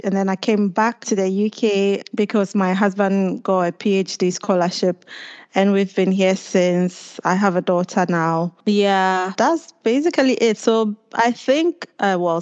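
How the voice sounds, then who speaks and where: Smooth-voiced woman from Ghana. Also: medium pitch, lo-fi sound. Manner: chatty, storytelling with hesitations. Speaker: panel guest. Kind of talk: casual conversation.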